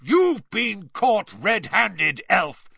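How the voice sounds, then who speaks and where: Narrator doing a blustery middle aged wizard guy, growly and accusing, pitch all over the place. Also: sing-song, normal speed, dry recording, muffled recording. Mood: roaring. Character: hot-tempered accusing middle-aged male wizard official.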